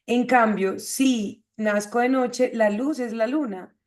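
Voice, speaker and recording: Female host, slightly high voice, didactic delivery with rhetorical check-in questions. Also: slow, a little mellow. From Colombia. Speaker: host of an astrology show. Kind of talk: explanatory conversation on astrology.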